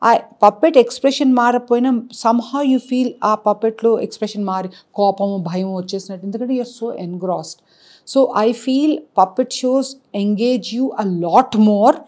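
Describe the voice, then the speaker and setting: A woman's voice: a little high, dark tone. A woman who produces puppet shows, explaining her work in an interview and switching between Telugu and English. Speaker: puppet show producer and founder.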